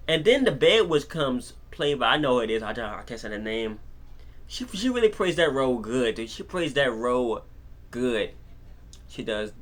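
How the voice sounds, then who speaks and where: A man giving an unscripted casual film review, excitable and rambling, often repeating his words as he retells scenes. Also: mid-range voice, normal speed.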